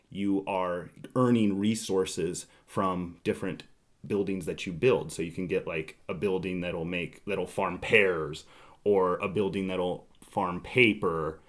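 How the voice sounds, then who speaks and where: A man hosting a casual board game podcast, talking in a teasing, bantering way. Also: lowish voice. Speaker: podcast host.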